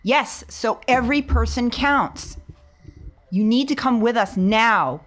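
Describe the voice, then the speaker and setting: Woman as bossy rebel medic, commanding, urgent, slow delivery, tabletop roleplay. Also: fairly high pitch, faint music behind. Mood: bossy, exasperated.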